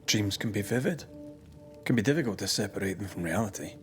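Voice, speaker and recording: An actor playing a devoted, protective ghost hunter in a video game, speaking in a measured, reassuring, naturalistic way. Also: male voice, on the low side, animated, faint music behind, natural voice. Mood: calm, probing.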